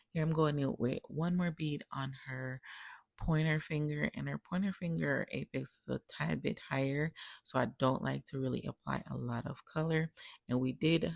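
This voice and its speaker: A tired woman, a nail technician, talks viewers step by step through her work in a relaxed tutorial voice-over. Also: very deep voice, gravelly, no room sound. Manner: relaxed step-by-step explaining, addresses viewers as guys. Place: recorded separately as a voice-over.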